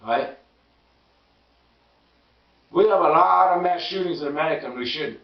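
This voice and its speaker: A hoarse man who stammers and repeats words, giving an emphatic casual political monologue. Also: moderate pace. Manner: emphatic, rising pitch when indignant. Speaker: political commentary vlogger. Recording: roomy distant lo-fi sound.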